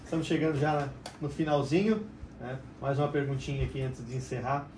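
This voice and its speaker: A man's voice: medium pitch, steady tone, a little mellow, a little hiss or hum. A man from southern Brazil hosting a podcast and asking the guest questions in a casual way. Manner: casual with quick back-channel replies. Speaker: podcast host. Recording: roomy echo, mic at a distance.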